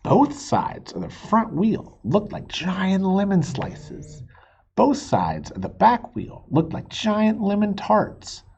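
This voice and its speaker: A man reading a children's storybook aloud in a playful, expressive way, stretching words for emphasis. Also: a little high, husky, dull audio. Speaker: reader of a children's book. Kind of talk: animated storybook read-aloud with asides.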